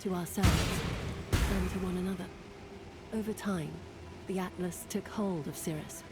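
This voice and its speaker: Woman doing a sad solemn game heroine, lowish voice, measured and regretful, loud music under it. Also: fairly even intonation, natural voice. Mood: mournful, regretful. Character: weary, regretful woman, solemn fantasy mapmaker recalling loss. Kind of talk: dramatic video game cutscene narration. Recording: loud cinematic music behind the voice.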